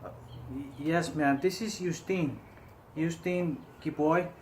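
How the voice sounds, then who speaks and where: A man's voice: a little high, takes it slowly, darkish tone, a little hiss or hum. A man improvising prank phone calls, play-acting with a hesitant, stammering delivery. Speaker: prank caller.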